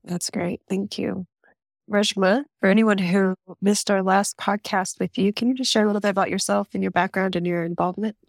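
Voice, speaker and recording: A woman's voice: medium pitch, steady tone, a little fast, creaky, dry recording, studio quality. A woman hosting a podcast, introducing her guests in a friendly, conversational way. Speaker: podcast host.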